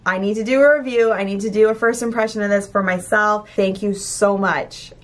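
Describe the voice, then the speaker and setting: A young woman chatting excitedly in a casual vlog, filming herself at arm's length, with a slightly raspy voice. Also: mid-range voice, brisk. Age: young adult. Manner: bubbly and gushing. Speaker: lifestyle vlogger. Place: car and city streets. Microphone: camera at arm's length.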